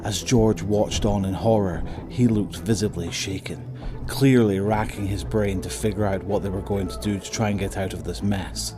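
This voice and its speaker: A man narrating a horror audio drama from a script in a measured, suspenseful, dramatic way. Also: lowish voice, steady tone. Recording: voice over prominent music.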